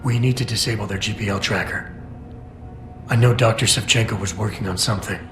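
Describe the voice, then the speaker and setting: Stoic, terse male agent for a video game, played by a male actor; low, deadpan, roomy echo with music underneath. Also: fairly quick, natural voice. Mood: calm, determined.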